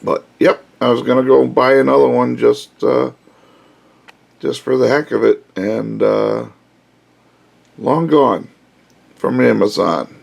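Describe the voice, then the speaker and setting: A man with a scratchy voice giving a relaxed, casual hands-on review of a cheap car stereo, explaining each step with plenty of pauses and "uh"s. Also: lowish voice, vocal fry, slightly rough. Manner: relaxed, unhurried explaining with frequent "uh"s. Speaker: car audio hobbyist reviewer.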